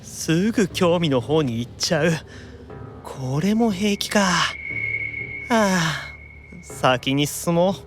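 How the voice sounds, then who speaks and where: A man's voice: on the high side, slow, long pauses, loud music behind the voice. An actor playing a whiny, easily panicked young man in an anime-style audio drama. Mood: wary, grumbling. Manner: animated, stammering exclamations when unnerved.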